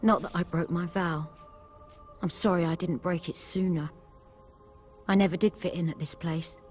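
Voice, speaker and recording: An actress playing a hot-tempered young warrior woman in a video game, blunt and forceful, full of emotion. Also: on the low side, steady tone, moderate pace, faint music behind, close to an everyday voice. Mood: grieving, vengeful. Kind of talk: scripted video game dialogue.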